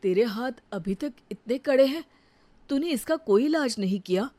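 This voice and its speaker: A woman's voice: fairly high pitch, expressive, moderate pace, dark tone. Woman voicing an earnest young husband. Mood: caring. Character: dutiful young husband, devoted to his mother.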